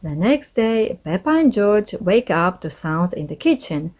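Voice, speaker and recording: A woman's voice: medium pitch, big pitch swings, measured pace, darkish tone. A woman hosting a read-aloud for children, narrating a storybook expressively and speaking directly to young listeners. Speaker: host reading children's books aloud.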